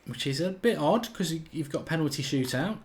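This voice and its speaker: A man's voice: on the high side, animated, fairly quick, slight background noise. A man with a slight Irish accent hosting a live football watchalong, chatting and thinking aloud while he reads out viewer comments. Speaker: host of a live match watchalong.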